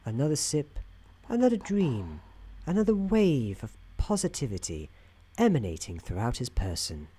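A man playing a soothing, wry narrator in an office worker's head, soft-spoken, with measured theatrical delivery for an ASMR storytelling roleplay. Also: mid-range voice, wildly swooping pitch, breathy edge. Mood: calm narrating.

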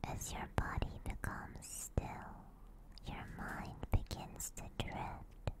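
A woman ASMR streamer gently and soothingly guiding a meditation in a breathy whisper, with lingering pauses, close to a binaural microphone.

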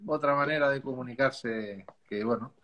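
A man in his fifties from Spain with a Spanish accent softened by years in Chile, a winemaker chatting casually and at length on a video call. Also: medium pitch, normal speed, muffled recording, slight background noise. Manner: chatty, rambling, laughs easily. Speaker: winemaker guest. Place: dark room at home. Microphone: video call, laptop camera.

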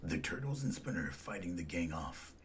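A man's voice: deep voice, very animated, a little fast, husky. A man giving scripted, matter-of-fact descriptive narration.

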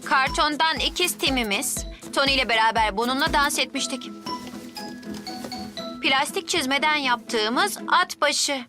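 A woman's voice: sits high, measured pace, music in the background. A woman voicing an earnest, somewhat glum little boy in a dubbed cartoon. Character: sad little boy, Tim, about four.

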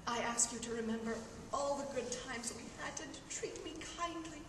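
Woman acting a high-voiced pleading wife. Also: a little fast, fairly bright tone, lightly acted. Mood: pleading, tender. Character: pleading, devoted wife of a nobleman. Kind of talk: dramatic staged play reading. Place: large hall, panel table microphones. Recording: echoey, noisy hall, distant microphone.

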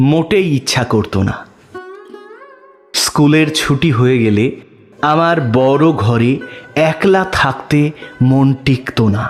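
A man narrating a literary story in the first person, slow and measured, with his pitch dropping low at the ends of phrases. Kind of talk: scripted audiobook narration.

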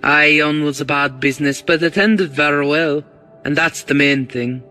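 A man's voice: fairly high pitch, fairly even intonation, moderate pace, sharp tone, soft background music. A man voicing a cautious, grizzled Scottish police detective in an audiobook, with a Scottish accent and a dry, guarded manner. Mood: cautious, civil.

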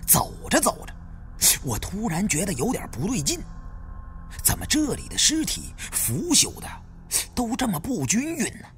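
Male storyteller for a first-person audiobook: expressive, dramatic, very wide intonation, soft music behind. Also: high voice, moderate pace, gravelly. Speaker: audiobook narrator.

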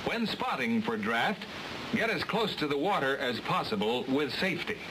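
A man's voice: medium pitch, sing-song, moderate pace. A man giving scripted, matter-of-fact instructional narration for a training film. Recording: hissy old film transfer.

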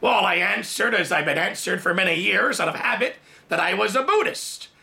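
A man in his forties, raised in Thailand, preaching a sermon in his study and telling a personal testimony with lively hand gestures, recorded on a camera at desk distance. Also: high-pitched, moderate pace, gravelly. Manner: animated storytelling with big hand gestures. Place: home study with bookshelves, indoors.

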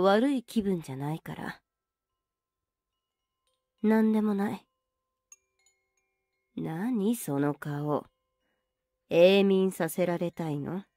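A woman playing a young, teasing older sister in an anime ASMR roleplay, scolding yet soft and intimate, close to the microphone. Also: fairly low pitch, no room sound. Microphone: very close, dry microphone.

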